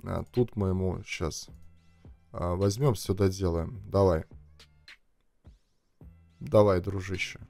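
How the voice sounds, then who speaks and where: A man's voice: low-pitched, steady tone, slow pace, vocal fry, pauses often, warm tone. A young man streaming a mobile game, chatting casually and thinking aloud to his viewers from a headset in a small room. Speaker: gaming streamer. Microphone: headset, webcam framing. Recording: dry close sound, faint music behind.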